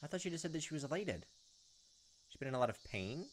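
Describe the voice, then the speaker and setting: A man's voice: on the high side, sing-song, fast talker, slight background noise. A man hosting a casual let's play, reading visual novel text aloud and adding offhand, jokey commentary. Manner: jokey asides and reactions. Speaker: gaming video host. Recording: music behind the voice. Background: visual novel game music.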